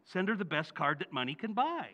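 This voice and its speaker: A man's voice: high-pitched, sing-song, fairly quick, bright, forceful tone, full character voice. A man acting a blustery, blundering middle-aged working-class father in an old-time radio comedy, with a folksy manner. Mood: blustery, advising. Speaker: stage actor in radio recreation. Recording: reverberant hall.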